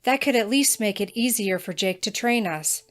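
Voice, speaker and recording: A woman's voice: medium pitch, moderate pace, close to an everyday voice. An actress playing a practical young female student with magic powers who talks earnestly and keeps proposing plans.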